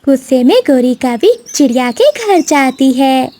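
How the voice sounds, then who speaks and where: A woman's voice: high voice, lively intonation, a little slow, mellow. A woman narrating a scripted children's cartoon story.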